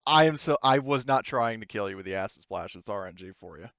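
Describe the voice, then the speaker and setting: A man's voice: fairly low pitch, lively intonation, fast, a bit bright, no room sound, highs cut off. A man acting as game master, narrating a tabletop roleplaying game in a casual, chatty style.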